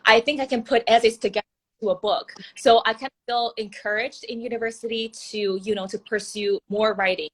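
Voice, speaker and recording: A woman's voice: a little high, steady tone, a little fast, poor audio. A young woman whose first language is Mandarin Chinese, answering interview questions about her writing in an earnest way, with frequent fillers and restarts. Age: young adult. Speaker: author being interviewed. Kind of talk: conversational interview.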